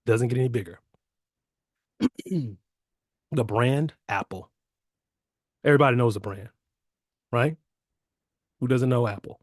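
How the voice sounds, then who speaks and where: A man presenting an investment opportunity on a video call, losing his voice and clearing his throat often. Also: medium pitch, a little mellow, no echo, studio quality. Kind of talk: upbeat sales pitch on a video call.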